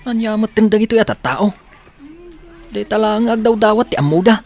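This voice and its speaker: Haughty, grumbling Ilocano boyfriend. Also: male voice, sits high, fairly quick, a little mellow. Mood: scornful, irritated. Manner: grumbling, scolding. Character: irritable, haughty boyfriend, scornful of beggars and strangers. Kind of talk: Filipino radio drama acting.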